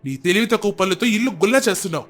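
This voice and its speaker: A woman voicing an earnest young farmer son in a storybook tale, pitched lower than her own voice. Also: lively intonation, very fast, soft background music, natural voice. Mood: angry.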